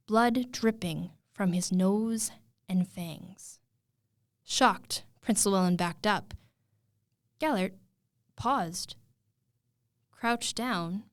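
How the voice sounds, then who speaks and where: Expressive woman storyteller, dry close sound. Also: mid-range voice, no room sound. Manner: dramatic, expressive, with suspenseful pauses. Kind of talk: animated oral retelling of a fairy tale.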